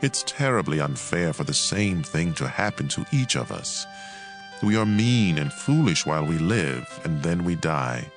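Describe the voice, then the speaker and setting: A man reading scripture as a dramatized audio Bible narration, in a measured and expressive way. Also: low-pitched, faint music behind.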